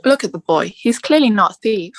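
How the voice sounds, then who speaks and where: A woman playing a calm, protective male centaur mentor in a theatrical table read, speaking in a measured, formal way. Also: mid-range voice, a little hiss or hum, close to an everyday voice. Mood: measured, reasoning.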